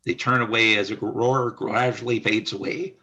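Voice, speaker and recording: A man's voice: on the low side, fairly even intonation, moderate pace, bright, forceful tone, lo-fi sound. A man reading scripted stage-direction narration for a radio play.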